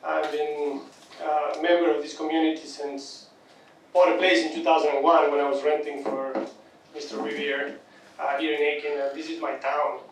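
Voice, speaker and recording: A man's voice: a little high, little pitch movement, a little hiss or hum. Upset Argentine man in an echoey courtroom. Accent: Argentine Spanish. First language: Spanish. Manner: halting, upset, repeats words. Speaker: crime victim addressing court. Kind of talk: impassioned victim statement. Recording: echoey courtroom audio from a distant mic.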